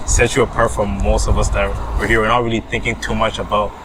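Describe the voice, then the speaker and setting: A man's voice: on the low side, little pitch movement, fast. A man hosting a sports show, chatting casually as he interviews. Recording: clearly noisy background ambience.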